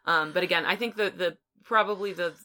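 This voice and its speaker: A woman's voice: fairly low pitch, fairly quick, pushed and bright. A woman hosting a podcast in a casual, conversational way, rambling a little and correcting herself as she talks. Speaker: podcast host. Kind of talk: casual conversational film discussion.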